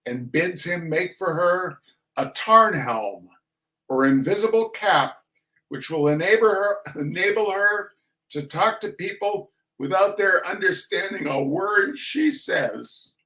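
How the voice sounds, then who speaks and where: A man giving a theatrical reading of a humorous text in a mock-solemn, deliberate manner with dramatic pauses. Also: high-pitched, lively intonation, pushed and bright, rough recording. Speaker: reader of a comic essay.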